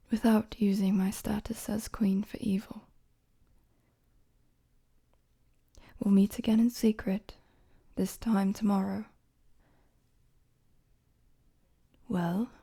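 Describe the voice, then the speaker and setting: A woman acts out a young queen in an intimate audio roleplay. Her voice is mellow and very breathy, often dropping close to a whisper. She speaks with courtly politeness, gentle and a little playful, as if confiding in a trusted servant. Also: mid-range voice. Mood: reassuring, playful.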